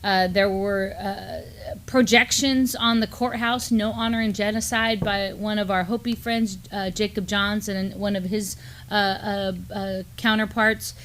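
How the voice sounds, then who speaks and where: A middle-aged woman co-hosting a conversational community news show, talking haltingly with frequent pauses. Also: medium pitch, fairly even intonation, a bit bright, slight background noise. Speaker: co-host. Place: small studio, indoors.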